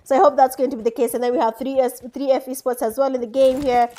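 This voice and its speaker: High-voiced Kenyan woman casting esports. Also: flat delivery, quick pace, warm tone. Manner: chatty, laughs often, mixes in Swahili. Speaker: esports caster and streamer. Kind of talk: live game commentary.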